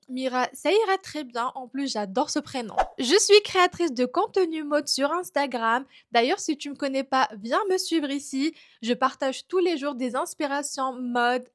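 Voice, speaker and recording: High-voiced woman telling her story. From Algeria. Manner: chatty, personal storytelling. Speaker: fashion content creator. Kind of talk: casual vlog introduction.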